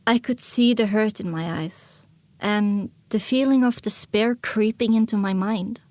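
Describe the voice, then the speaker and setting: A woman's voice: mid-range voice, measured pace, a little mellow, no room sound, lightly acted. A woman narrating a dramatic audiobook in the first person as a young filly pony, reflective and scripted. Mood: reflective, somber. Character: young filly pony recounting her wasteland journey.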